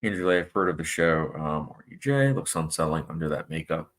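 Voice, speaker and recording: A man's voice: very deep voice, animated, brisk, fairly bright tone. A young man hosting a casual live stream, reading out viewer comments and chatting loosely with plenty of fillers. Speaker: movie channel host.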